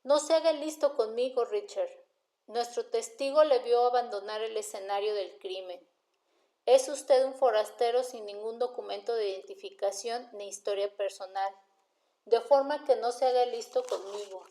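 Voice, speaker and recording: Woman reading as a stern, sarcastic male detective, mellow-toned. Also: a little high, moderate pace, natural voice, rough recording. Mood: stern, insistent. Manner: formal, insistent, coolly sarcastic questioning. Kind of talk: audiobook character dialogue.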